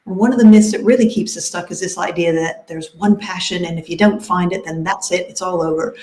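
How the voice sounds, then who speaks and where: A woman's voice: on the low side, steady tone, a little fast, a little mellow. A woman career coach talking enthusiastically and conversationally on a live video panel. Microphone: video call. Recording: echoey room.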